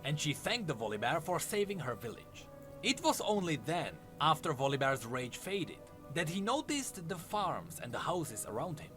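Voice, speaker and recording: Male storyteller for scripted fantasy lore, fairly high voice, dramatic and lively delivery over light music. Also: moderate pace. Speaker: lore narrator. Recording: music under the narration. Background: background music.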